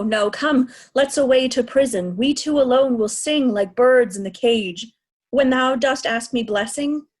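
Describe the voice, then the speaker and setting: A woman takes the role of an aged fallen king in a stage tragedy, tender but defiant. The voice is on the high side and moves slowly, weighting the old verse with emphasis. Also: darkish tone. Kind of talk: Shakespearean verse read in reader's theatre.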